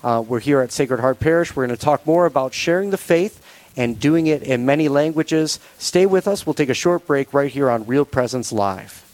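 Brief: male radio host, mid-pitched, unhurried and reflective, close dry mic. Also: lively intonation, a little mellow, no echo, low-quality recording. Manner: measured, thoughtful, frequent fillers. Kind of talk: conversational radio interview.

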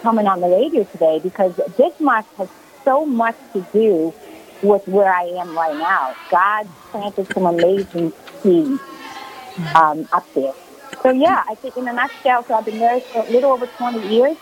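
A woman's voice: mid-range voice, expressive, moderate pace, poor audio. A woman in her forties from Brazil, a guest on a radio call-in interview, telling her story earnestly with many fillers. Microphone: phone call.

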